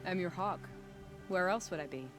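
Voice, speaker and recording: A woman's voice: fairly low pitch, normal speed, music in the background, lightly acted. A woman playing a bold, loyal huntress in a video game, speaking firmly and with pride. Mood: defiant. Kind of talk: dramatic video game dialogue.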